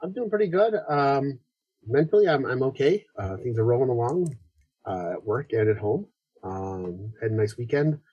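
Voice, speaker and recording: A man's voice: medium pitch, expressive, dark tone, slightly rough, dry recording, muffled recording. A man co-hosting a podcast in casual chat, stuffed up and nasal from a cold, chatty with plenty of fillers. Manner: quick, chatty, with many fillers. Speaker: podcast co-host.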